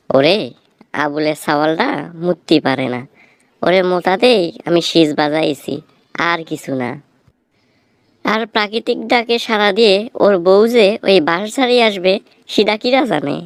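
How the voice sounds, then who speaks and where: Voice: mid-range voice, clean tone, dry recording, close to an everyday voice. An actor voicing a quarrelsome, indignant village husband in a comic cartoon, scolding theatrically in a rural Bangladeshi Bengali accent. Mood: accusing, defensive. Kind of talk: comic cartoon dialogue, exaggerated bickering.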